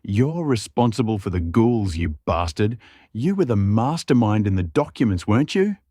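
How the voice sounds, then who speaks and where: A man's voice: lowish voice, sing-song, normal speed, mellow, dry recording, studio quality. A man voicing a righteous, hot-headed male survivor in a fairytale audiobook, confrontational and accusing. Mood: furious.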